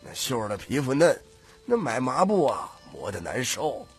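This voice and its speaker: Husky, folksy old patriarch. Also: male voice, mid-range voice, big pitch swings, moderate pace, soft background music. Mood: grudging, fussy. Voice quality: husky, raspy. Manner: drawling, folksy, lecturing. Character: old troupe patriarch, thrifty, bossy, doting grandfather. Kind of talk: audiobook character acting.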